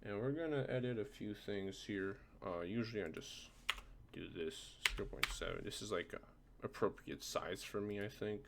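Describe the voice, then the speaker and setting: A man presenting a software tutorial informally, often checking that the listener is following along. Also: lowish voice, normal speed, creaky, heavy background noise. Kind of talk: casual screen-recorded software tutorial.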